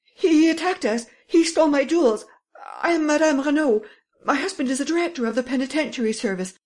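A woman voicing an excitable, dramatic French lady who exclaims breathlessly. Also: sits high, brisk, pitched above the performer's own voice, close to an everyday voice. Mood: frantic.

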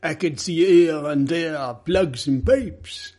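Male reader as foreign male detective for an audiobook mystery: teasing, cryptic, quaint phrasing, husky tone. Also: a little high, takes it slowly, a little mellow, voice pushed up. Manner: quaint, non-native phrasing.

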